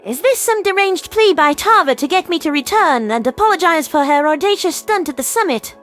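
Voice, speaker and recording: A man's voice: very high voice, expressive, fairly quick, a bit bright, soft background music, voice pushed up. A man voicing a haughty, scornful female avian alien ambassador in a sci-fi audio drama, indignant and disdainful.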